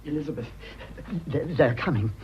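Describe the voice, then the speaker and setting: An actor playing a fussy, anxious young gentleman with a British accent in a radio drama, hesitant and stammering. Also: mid-range voice, moderate pace, highs cut off, slight background noise, close to an everyday voice. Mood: anxious, flustered.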